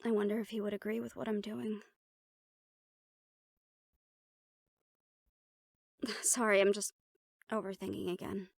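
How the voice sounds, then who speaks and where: A woman's voice: mid-range voice, flat delivery, fairly quick, gravelly, no room sound, studio quality. A woman playing a confident, playful siren-banshee warrior in a conversational audio roleplay, talking intimately to the listener. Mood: worried, pensive.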